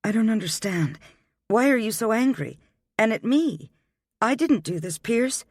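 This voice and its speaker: A man's voice: sits high, animated, a little slow, dry recording, clean studio sound, pitched above the performer's own voice, heavily acted. A man voicing a heartbroken young pregnant woman who pleads through tears and then turns proud. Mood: pleading. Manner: tearful, pleading, then quietly resolved.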